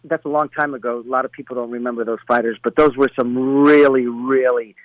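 A man's voice: mid-range voice, fairly even intonation, fast talker, dry recording, low-quality recording. A middle-aged man from Colombia, a boxing trainer, talks casually as a guest. He rambles, with many restarts and fillers. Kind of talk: casual interview talk.

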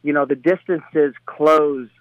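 A man's voice: a little high, steady tone, takes it slowly, highs cut off. A middle-aged man from Colombia, a boxing trainer, talks casually as a guest. He rambles, with many restarts and fillers. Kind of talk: casual interview talk.

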